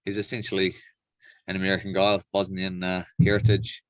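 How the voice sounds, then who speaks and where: A man's voice: low-pitched, monotone, a little fast, dry recording, rough recording. Laid-back New Zealand man, dry close sound. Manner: laid-back slangy phrasing, frequent "like" and "bro". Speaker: professional MMA fighter being interviewed. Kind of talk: casual interview chat.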